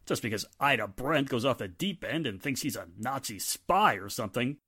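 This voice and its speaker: A man's voice: medium pitch, animated, normal speed, sharp tone, husky. A man acting a jovial, teasing, blustery small-town 1940s husband in a radio drama, jocular and full of exclamations. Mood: incredulous.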